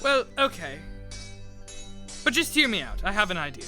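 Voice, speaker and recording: Guy acting as a dutiful battle aide, sharp bright voice, earnest, faint music under it. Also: high-pitched, very animated, moderate pace, heavily acted. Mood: worried. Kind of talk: audio drama acting.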